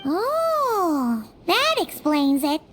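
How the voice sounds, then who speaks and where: A tiny fairy companion girl from a video game, with an extremely high, squeaky voice. She is excitable and childlike, exclaiming in big swoops at an unhurried pace. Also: music in the background, heavily acted. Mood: concerned. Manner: exclamatory, refers to herself in third person.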